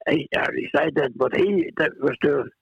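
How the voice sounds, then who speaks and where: An older man from Ireland with a cold, reminiscing as an interview guest, halting with many restarts and fillers. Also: a little high, gravelly, husky, no echo, dull audio. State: has a cold, clears throat. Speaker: retired golfer, guest.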